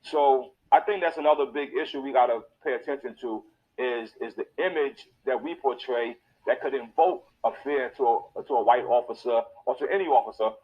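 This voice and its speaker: A man's voice: medium pitch, a little fast, husky, lo-fi sound. A father talking casually on the radio, full of "you know". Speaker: father speaking on air.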